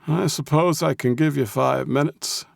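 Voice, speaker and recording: A crabby old man, pitched well above the reader's natural voice. He is gruff, defensive and blustering, a weary storybook grouch who wants to be left alone. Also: normal speed, no echo, clean studio sound. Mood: grudging, nervous. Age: older.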